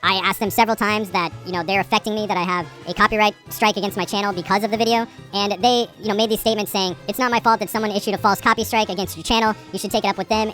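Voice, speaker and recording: A woman's voice: mid-range voice, a bit bright. A woman commentator complaining off the cuff in an insistent, repetitive way. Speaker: commentary YouTuber. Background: background music.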